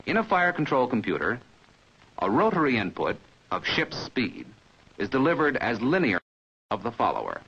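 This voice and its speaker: An American man reading a scripted 1950s training film narration in a measured, precise, didactic manner, as heard on an old film soundtrack. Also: mid-range voice, wildly swooping pitch, fairly bright tone, husky, lo-fi sound.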